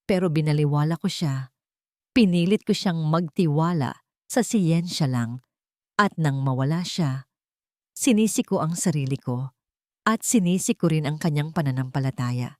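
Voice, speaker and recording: A woman's voice: low-pitched, normal speed, pauses often, warm tone, no room sound, lightly acted. Woman voicing a remorseful, commanding father, dry close sound. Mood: confessing, pained. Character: remorseful, commanding billionaire father confessing and apologizing.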